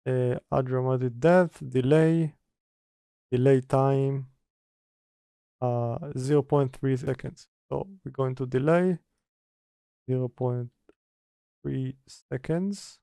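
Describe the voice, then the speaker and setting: A man presenting an informal software tutorial, halting as he reads steps from notes and mutters through them. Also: mid-range voice, gravelly, smooth, no echo, studio quality. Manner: halting, mutters step by step while working. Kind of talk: informal screen-recorded software tutorial, partly read from notes.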